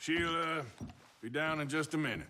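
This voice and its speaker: An actor playing a wary, polite cowboy outlaw in a video game, with an American accent and a hesitant, terse way of talking. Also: male voice, on the high side, moderate pace, noisy background, lightly acted. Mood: polite, uneasy. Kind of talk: video game character acting.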